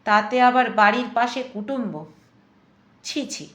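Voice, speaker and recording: Woman acting proud middle-aged wife of a landowner, high voice, formal, evasive, echoing audiobook. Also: a little slow, close to an everyday voice. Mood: dismissive. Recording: echoing room or reverb.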